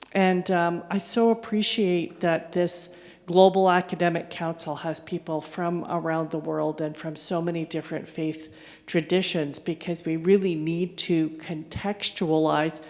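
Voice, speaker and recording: A low-voiced woman offers informal remarks at an academic meeting. She speaks hesitantly, pausing to search for words, and her voice carries long reverb from a big hall. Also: darkish tone. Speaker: academic and journal editor at a panel session.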